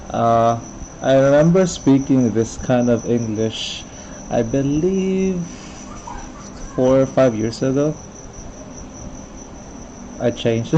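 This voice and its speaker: A man live streaming a game with casual reaction commentary. He talks very slowly in a mellow, relaxed voice of medium pitch, over faint background noise. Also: gravelly, dull audio.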